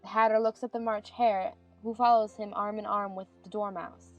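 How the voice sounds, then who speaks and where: A woman's voice: mid-range voice, steady tone, moderate pace, lo-fi sound, soft background music. A woman reading scripted stage narration for a dramatized audiobook.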